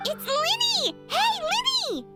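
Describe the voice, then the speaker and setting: Teeny squeaky fairy sidekick, super high n bouncy, childlike game voice, loud music. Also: female voice, big pitch swings, takes it slowly, heavily acted. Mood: excited, curious. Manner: squeaky, bubbly. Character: tiny, excitable, childlike floating fairy companion. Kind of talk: scripted video game voice acting.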